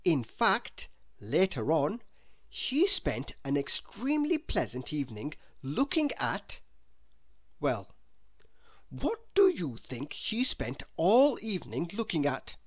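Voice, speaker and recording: A woman's voice: low voice, huge pitch swings, slow, fairly bright tone. A woman reading a children's storybook aloud, expressively and as if speaking straight to young listeners.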